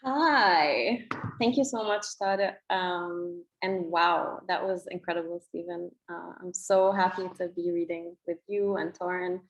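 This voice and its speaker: A woman's voice: fairly low pitch, steady tone, unhurried, few pauses, poor audio. A young woman from Egypt, a poet, speaking casually and hesitantly as she introduces her reading. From Cairo, Egypt.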